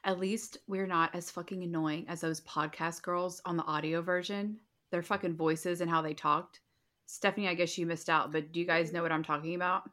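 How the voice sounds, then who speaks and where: Blunt, low-pitched woman. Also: steady tone, moderate pace, fairly bright tone. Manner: blunt, opinionated, swears freely. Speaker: podcast co-host. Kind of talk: casual book club chat.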